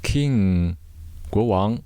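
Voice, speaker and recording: Low-voiced, slow male vocabulary teacher. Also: expressive, dark tone, clean tone, no echo. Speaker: vocabulary teacher giving Chinese glosses. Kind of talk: scripted vocabulary explanation, word by word.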